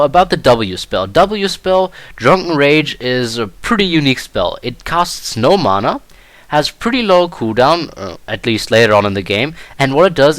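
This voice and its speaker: Young male gamer, German first language, halting tutorial commentary, mid pitch, dry close mic. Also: a bit bright, dry recording. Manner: hesitant, many fillers and restarts. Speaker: gameplay commentator. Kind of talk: casual game tutorial commentary.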